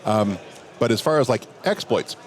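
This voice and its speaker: A man with a big voice, a security professional telling a relaxed story during a hallway chat at a conference. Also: on the low side, normal speed, a faint crowd. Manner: relaxed anecdotal telling, quoting others in dialogue. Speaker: interview guest, security professional. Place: outdoors at a conference venue.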